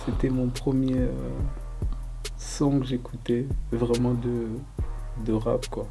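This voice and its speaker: A young man from Congo answering interview questions casually, loose and relaxed, with lots of fillers. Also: mid-range voice, slow, music in the background. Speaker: rapper interviewee.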